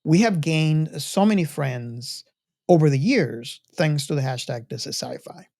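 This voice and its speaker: Man hosting a podcast, earnest and halting. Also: fairly high pitch, lively intonation, vocal fry, a little mellow. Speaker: podcast host. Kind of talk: casual, unscripted talk to the audience.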